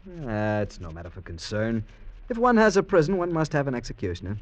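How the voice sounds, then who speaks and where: An actor in an old American radio drama playing a cheerful, cocky young convict who dreams of freedom. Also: male voice, medium pitch, sing-song, fairly quick, muffled recording, lightly acted. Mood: breezy. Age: young adult.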